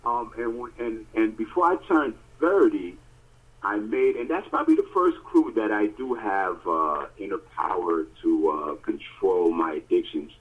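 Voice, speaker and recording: Husky man talking over a phone line. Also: lowish voice, a little slow. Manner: animated, emphatic storytelling with many fillers. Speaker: podcast co-host. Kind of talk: casual phone chat.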